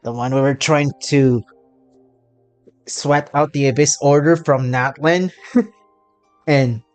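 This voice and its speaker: A man giving casual commentary on a game playthrough as channel host, halting and often giggly. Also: medium pitch, steady tone, faint music behind.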